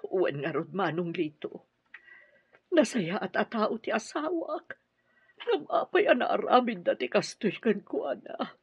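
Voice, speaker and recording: A woman with an Ilocano accent playing a grieving, pleading widowed mother in a radio drama, tearful and drawn-out. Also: mid-range voice, animated, creaky, slightly rough, heavily acted. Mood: grieving, bitter. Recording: dull, muffled radio drama audio.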